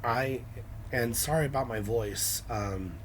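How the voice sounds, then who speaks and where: Raspy, hoarse young man, slow-spoken. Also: mid-range voice. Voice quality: raspy, scratchy. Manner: hesitant, many ums and restarts. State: hoarse from illness. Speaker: nail art vlogger. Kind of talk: casual how-to vlog.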